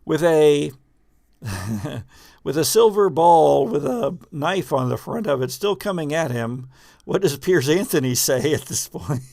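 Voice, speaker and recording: Slow, halting man narrating a game. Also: a little high, animated, gravelly, a little mellow, no echo, clean studio sound. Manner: halting, dramatic storytelling with drawn-out pauses. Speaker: game master of a tabletop role-playing show.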